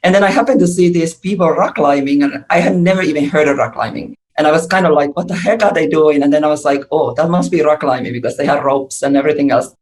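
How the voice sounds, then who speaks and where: Woman from Finland, podcast guest, chatty, lively storyteller. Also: low voice, fast, few pauses. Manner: lively, chatty, laughs often. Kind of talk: interview storytelling.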